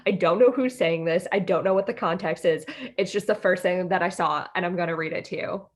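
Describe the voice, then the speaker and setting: A woman's voice: fairly low pitch, brisk. A young American woman chatting casually and informally as a podcast co-host, with a giggly, sweary way of talking. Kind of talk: casual chat.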